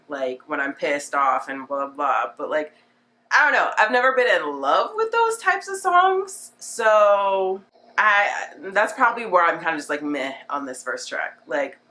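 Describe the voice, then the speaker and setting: Low-pitched, slightly nasal chatty woman. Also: pushed and bright. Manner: chatty, rambling, filler-heavy with frequent "like". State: getting over a cold. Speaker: music reaction vlogger. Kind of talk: casual unscripted reaction commentary.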